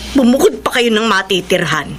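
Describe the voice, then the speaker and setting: A woman's voice: medium pitch, animated, moderate pace, fairly bright tone, a little hiss or hum, close to an everyday voice. An actress playing a fussy but caring middle-aged mother in a Filipino radio drama, chiding and insistent.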